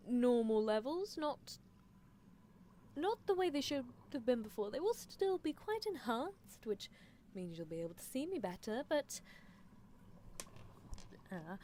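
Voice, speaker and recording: Woman playing an eerie, high-pitched, playful mad-scientist girl. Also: a little mellow, slight background noise. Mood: clinical, coaxing. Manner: teasing, unhurried, murmured musing with hums. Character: eerie, playful mad-scientist horse girl doting on her test subject. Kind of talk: ASMR roleplay livestream.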